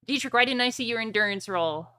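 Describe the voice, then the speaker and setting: A woman's voice: a little high, fast talker, sharp tone, smooth, dry recording, studio quality. A woman acting as game master for a streamed tabletop roleplaying game, talking casually with gamer slang.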